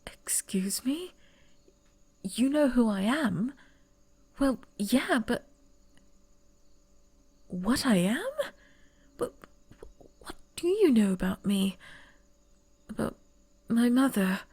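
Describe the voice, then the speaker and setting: A woman voicing a young noblewoman who would be heir, whispering softly and stammering when flustered, in an ASMR roleplay. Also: a little high, sing-song, takes it slowly, pauses often, slightly rough, full character voice.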